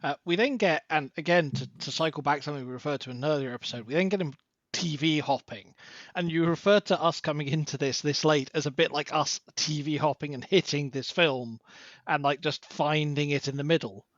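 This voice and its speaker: A man guest on a film podcast, talking in a casual, lively way. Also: fairly high pitch, fairly quick, no room sound. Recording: dull lo-fi mic.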